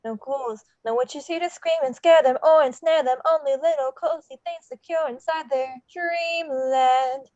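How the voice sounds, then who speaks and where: A woman playing an excitable, wonderstruck male skeleton in a table read of a musical, with theatrical, rhyming exclamations. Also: high voice, a little slow, few pauses, no echo, muffled recording. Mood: hushed wonder.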